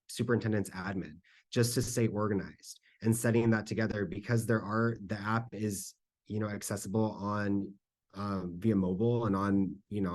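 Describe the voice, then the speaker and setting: Man, lowish pitch, conversational, explanatory, panel Q&A advice, checks for agreement. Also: a little mellow. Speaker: panelist, school district communications staffer. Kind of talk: conference presentation Q&A, informal advice.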